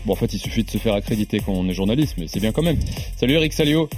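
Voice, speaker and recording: A man hosting a tennis podcast, introducing guests with quick joking asides. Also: lowish voice, lively intonation, darkish tone, soft background music. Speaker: podcast host. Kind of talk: casual sports podcast chat.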